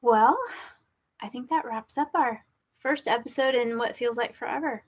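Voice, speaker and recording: A woman's voice: fairly high pitch, moderate pace, lo-fi sound. A woman co-hosting a casual podcast, chatting informally with a wry manner, recorded over an internet call. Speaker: podcast co-host.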